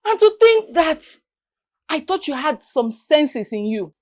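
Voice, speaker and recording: An actress playing a furious, betrayed wife, scolding and confrontational in a melodramatic drama. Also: female voice, high-pitched, very animated, a little slow. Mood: furious, scornful. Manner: scolding, confrontational, repeating demands. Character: furious betrayed wife throwing out her cheating sister. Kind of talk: melodramatic screen drama acting.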